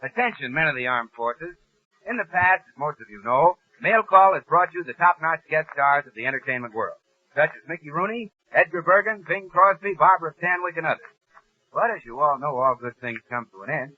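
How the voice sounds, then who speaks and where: A man's voice: on the high side, animated, fairly quick. A man working as a 1940s radio announcer and polite straight man in comic banter. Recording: tinny, band-limited 1940s radio broadcast.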